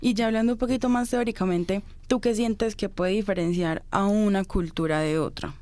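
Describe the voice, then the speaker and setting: Smooth-voiced Colombian woman interviewer. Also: medium pitch, fairly even intonation, normal speed, mellow. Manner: attentive, affirming interjections, formal phrasing. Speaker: podcast interviewer. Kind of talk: interview questions and comments.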